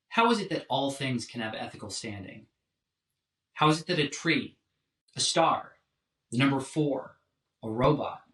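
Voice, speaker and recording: A man giving a scripted philosophy lecture in a measured, deliberate way. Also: mid-range voice, sharp tone. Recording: roomy echo, distant from mic.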